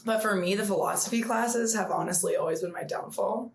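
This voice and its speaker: A woman's voice: mid-range voice, brisk, vocal fry, echoey room. A young woman co-hosting a student podcast in casual chat, laughing easily. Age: young adult. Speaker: podcast co-host.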